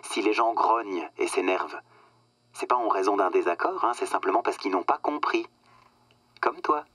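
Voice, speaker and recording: Smug corporate boss, bright-voiced. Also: male voice, lowish voice, moderate pace. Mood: patronizing. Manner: patronizing, self-satisfied, hesitating with filler words. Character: smug, condescending corporate boss phoning an employee. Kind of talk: satirical radio drama acting.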